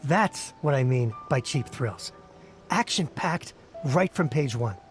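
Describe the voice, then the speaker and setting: A man's voice: medium pitch, animated, slow, faint music behind. A man voicing a casual young reader who talks frankly about what he likes.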